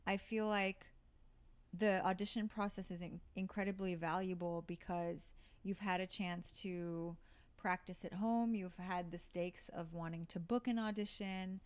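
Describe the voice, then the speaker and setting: A woman's voice: on the low side, fairly even intonation, measured pace, clean tone. A woman actor talking casually in an interview, thinking aloud with many fillers and restarts. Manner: thoughtful, with frequent fillers and restarts. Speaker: actor and guest.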